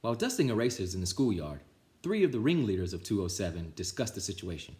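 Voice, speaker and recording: A man's voice: on the low side, very animated, fast, a little mellow. A man reading a children's picture book aloud as a storytime reader, expressively, with small stumbles.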